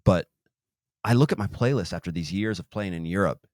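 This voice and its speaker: A man with a very low voice hosts a podcast in casual chat. He rambles, restarts his sentences and lets phrases trail off. The sound is dry and close, with no room. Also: brisk, a little mellow, no echo. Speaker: podcast host.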